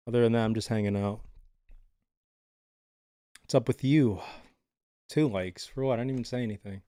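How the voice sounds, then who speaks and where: A man's voice: mid-range voice, a little slow, long pauses, warm tone, no room sound, studio quality. A man casually chatting with his live viewers from home, laid-back and rambling with lots of filler words. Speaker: livestreamer.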